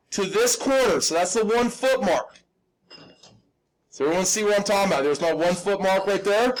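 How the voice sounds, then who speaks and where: High-voiced, slightly raspy male drafting teacher. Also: low-quality recording. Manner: instructional, checks in often with "okay?"; informal, folksy, self-deprecating. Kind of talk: live step-by-step hand drawing demonstration.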